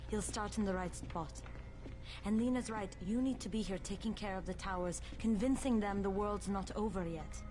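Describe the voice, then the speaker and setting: A woman's voice: mid-range voice, moderate pace, natural voice, rough recording. A woman playing a determined, protective young runner in a video game, speaking firmly and urgently. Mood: firm, persuasive. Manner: firm, urgent, directive. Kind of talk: video game cutscene acting. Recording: dramatic music clearly behind.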